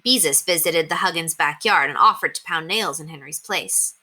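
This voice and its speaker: A woman reading a children's story aloud, narrating expressively in a clear, animated way. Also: deep voice, moderate pace. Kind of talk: expressive scripted narration with character voices.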